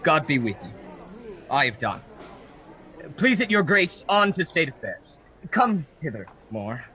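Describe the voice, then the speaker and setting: A man playing a bitter, wounded nobleman father in a Shakespearean radio play, stern and deliberate, on a home video-call recording. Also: a little high, very animated, sharp tone, full character voice. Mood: bitter, grieving. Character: bitter, wounded aristocratic father of a runaway bride.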